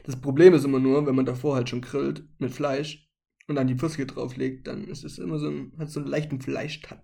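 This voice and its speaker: A man's voice: mid-range voice, level tone, brisk, vocal fry, mellow. A young man co-hosting a casual podcast chat, joking with dry understatement. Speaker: podcast co-host.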